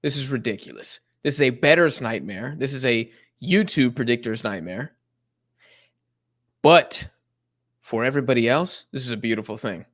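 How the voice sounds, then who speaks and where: A young man chats loosely through a live football watch-along. He rambles in slang, with plenty of fillers and restarts. His voice sits at an ordinary middle pitch. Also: moderate pace. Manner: slangy and rambling, lots of fillers, sudden excited outbursts at the match. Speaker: live stream host reacting to a football match. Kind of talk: casual live watch-along chat, reading chat messages.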